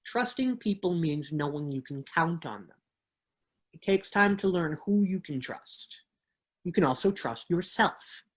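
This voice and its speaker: A woman giving a measured, expressive dramatic reading of children's books. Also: lowish voice, husky.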